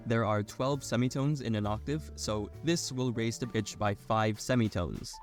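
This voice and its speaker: A man's voice: fairly low pitch, a little mellow. A young adult man reading a scripted technical explainer as a narrator.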